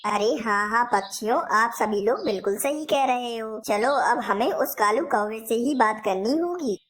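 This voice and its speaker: A woman's voice: fairly high pitch, moderate pace, natural voice. A woman voicing a fair, concerned parrot king with a formal, earnest manner in a children's cartoon.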